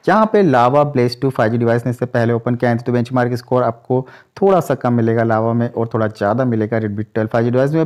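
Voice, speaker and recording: A man from India giving a brisk hands-on commentary comparing two phones, often calling out to his viewers. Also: medium pitch, darkish tone. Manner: brisk, repeats the audience address often. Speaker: tech reviewer.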